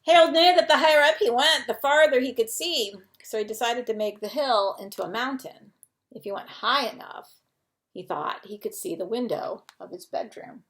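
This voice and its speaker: A woman's voice: a little high, moderate pace, fairly bright tone. A woman reading a children's picture book aloud as a bedtime story, expressively, with chatty asides to young listeners.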